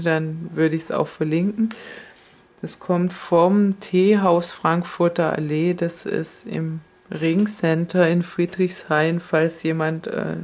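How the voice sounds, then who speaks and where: A woman's voice: lowish voice, a little mellow. A woman hosting a podcast, chatting casually and rambling with many fillers and restarts. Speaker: podcast host.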